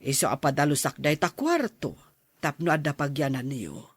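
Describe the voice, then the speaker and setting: Guy playing old plantation boss in a radio drama, a bit high voiced, plain instructing tone, close dry sound. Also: sing-song, moderate pace, breathy edge, no room sound. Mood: matter-of-fact. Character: elderly plantation owner and grandparent planning to emigrate. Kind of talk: audio drama acting.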